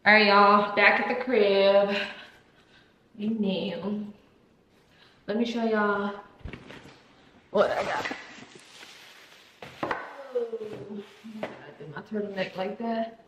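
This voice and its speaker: A woman's voice: mid-range voice, level tone, slow pace, long pauses, bright, forceful tone, dull audio, a little hiss or hum. A young woman in her twenties chatting casually and playfully in her apartment kitchen as she films a vlog on a handheld phone. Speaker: vlogger.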